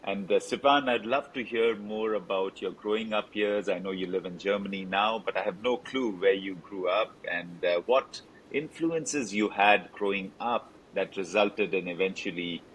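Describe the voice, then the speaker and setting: Male host, very low voice, warm effusive interviewer; faint rumbling noise in the recording. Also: moderate pace, poor audio. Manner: friendly, effusive, with fillers. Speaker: podcast host. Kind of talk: interview hosting.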